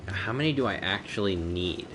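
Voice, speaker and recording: A man with a low voice chats casually through a game livestream, swearing and using slang as he goes. Game music plays clearly behind him. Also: expressive, moderate pace. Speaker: game streamer. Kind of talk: casual live gameplay commentary. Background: video game music.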